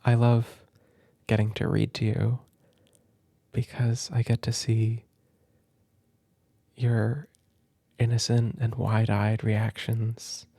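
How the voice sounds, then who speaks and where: A young man doing gentle, affectionate ASMR roleplay, speaking softly and simply to a listener as if reading aloud at bedtime. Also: lowish voice, completely flat delivery, takes it slowly, gravelly, pauses often, no room sound. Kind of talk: soft intimate ASMR roleplay and storybook reading. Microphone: close microphone in a dry space.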